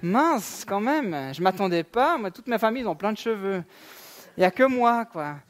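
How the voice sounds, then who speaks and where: A man's voice: high voice, very animated, normal speed. A man in his thirties, a pastor giving a sermon in a church, talking in a conversational way with fillers and asides. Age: 30s. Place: church sanctuary.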